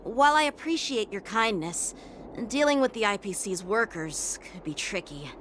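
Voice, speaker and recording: A man's voice: high voice, lively intonation, moderate pace, sharp tone, a little hiss or hum. An actor playing an earnest, dutiful young male officer who speaks courteously and formally. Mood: polite. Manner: courteous, formal, self-critical. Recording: game dialogue over music. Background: game music.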